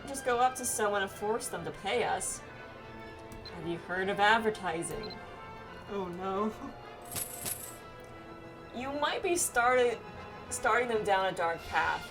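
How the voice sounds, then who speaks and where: A woman playing a game with friends, reading its dialogue aloud casually and haltingly, with reactions of her own. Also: medium pitch, poor audio. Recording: game music behind the voice. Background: video game music.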